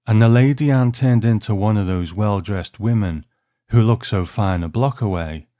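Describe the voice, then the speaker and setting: A man's voice: on the low side, moderate pace, mellow, no echo, close to an everyday voice. A man reading a first-person short story as a wry young newlywed husband recalling a voyage. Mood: wry, reflective.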